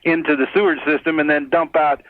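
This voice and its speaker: An American man calling in to a radio show, explaining his disagreement in a measured, methodical, conversational way over the telephone. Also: a little high, fairly even intonation, no echo. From United States. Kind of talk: conversational debate. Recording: muffled narrow phone line on radio.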